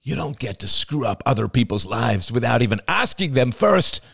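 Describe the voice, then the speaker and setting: A man's voice: sits low, expressive, measured pace, gravelly, husky, dry recording, clean studio sound, exaggerated voice. A man voicing an angry, exasperated young man in an audiobook, biting, incredulous and accusatory with his partner.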